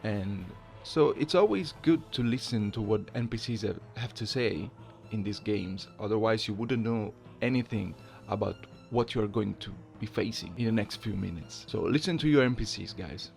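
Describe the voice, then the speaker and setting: A man's voice: on the low side, normal speed, vocal fry, darkish tone, faint music behind. A man hosting a gaming channel, talking in a casual video essay style. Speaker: gaming channel host, amateur voice impersonator.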